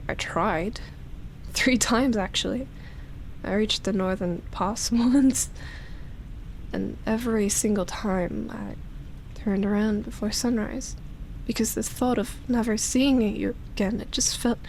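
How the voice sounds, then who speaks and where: A woman voicing an ancient, lonely vampire in love, speaking softly and intimately with sighs, whispered words and lingering pauses, as in roleplay ASMR. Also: a little high, slight background noise, low-quality recording. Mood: earnest, pleading. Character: lonely, obsessive female vampire, ancient, tender and teasing.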